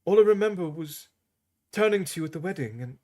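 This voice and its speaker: A man voicing a weak, drowsy young princess who speaks softly and quietly. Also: high voice, expressive, a little fast, voice pushed up. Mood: weary. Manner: soft, quiet and tired.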